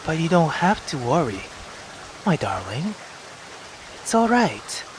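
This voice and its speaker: A man reading the lines of a cruel, doting mother who scolds her daughter in a soft, low, menacing voice. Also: big pitch swings, slow pace, background noise. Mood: coldly tender.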